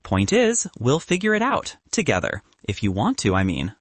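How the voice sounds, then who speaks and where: Guy doing a quirky young woman scientist, playful and jokey, husky voice that swings around a lot, dry sound. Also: medium pitch, wildly swooping pitch, normal speed, no echo. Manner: playful, joking.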